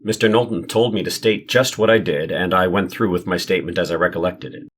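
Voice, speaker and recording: A man's voice: low-pitched, fairly even intonation, fairly quick, a bit bright, slight background noise. A man reading the part of a guarded assistant marshal testifying in a dramatized historical trial. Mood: guarded, factual.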